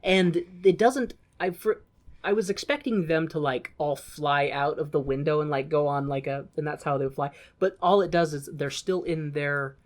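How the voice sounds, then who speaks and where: A podcast co-host in casual conversation, retelling a film in an animated way and acting out bits of dialogue. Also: medium pitch, normal speed. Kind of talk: casual chat recapping a film.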